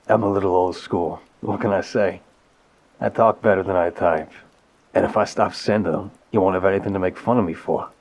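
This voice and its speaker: Low, husky, smitten mafia boss, acted by a man. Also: a little mellow. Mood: fond, teasing. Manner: tender, rambling, wryly self-deprecating. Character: apologetic, smitten mafia boss leaving affectionate voicemails. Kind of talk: intimate ASMR roleplay, conversational voicemail monologue.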